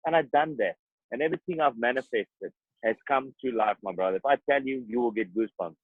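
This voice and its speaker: A boxer, talking earnestly and emphatically in an interview, often repeating himself. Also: male voice, mid-range voice, quick pace, husky, dry recording. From South Africa. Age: 30s. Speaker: boxer guest. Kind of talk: interview conversation. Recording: tinny phone-like dry sound.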